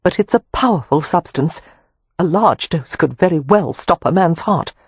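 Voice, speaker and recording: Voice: mid-range voice, expressive, moderate pace, breathy edge, no echo. A narrator voicing a practical young woman who explains things in a matter-of-fact way.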